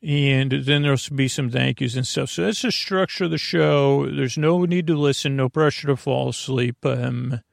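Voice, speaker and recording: A man hosting a bedtime podcast in a creaky voice, meandering gently through silly tangents and halting self-corrections. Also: mid-range voice, no room sound, clean studio sound. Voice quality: creaky, gravelly. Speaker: podcast host. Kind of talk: rambling, soothing bedtime monologue.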